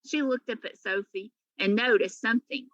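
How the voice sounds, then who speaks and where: Woman, highish bright voice, expressive children's read-aloud, dry and slightly dull recording. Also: moderate pace, dry recording. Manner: animated, emphatic storytelling. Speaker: teacher reading to pupils.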